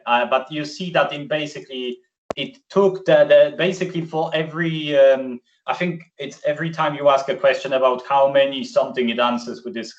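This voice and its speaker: Man demoing software on a muffled call. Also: medium pitch. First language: Polish. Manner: chatty, filler-heavy, self-correcting. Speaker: presenter. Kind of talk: live screen-share demo. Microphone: video call. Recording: distant, muffled video call audio.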